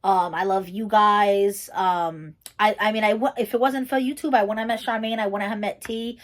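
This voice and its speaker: A woman's voice: mid-range voice, fairly even intonation, normal speed. A young woman chatting to her camera through a question-and-answer video. She rambles freely, circling back with fillers and restarted sentences in an easygoing, conversational way. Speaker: YouTuber and teacher. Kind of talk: casual chatty Q&A vlog.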